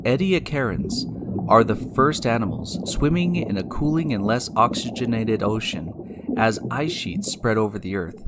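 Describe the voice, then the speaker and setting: A man hosting and narrating a scripted science story in a deliberate, explanatory way, speaking directly to the listener. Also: mid-range voice, noisy background. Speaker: podcast host and narrator. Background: music.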